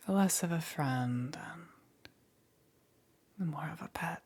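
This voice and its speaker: A woman voicing a seductive, possessive yet melancholy demon queen in a whispered ASMR roleplay, slow and softly condescending, with a breathy, husky voice. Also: low-pitched, exaggerated voice. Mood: possessive, smug.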